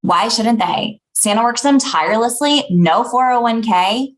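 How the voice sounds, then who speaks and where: A woman's voice: a little high, animated, unhurried. A woman playing a blunt, ambitious young elf actress in a comedy table read, dry and dismissive. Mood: fed up.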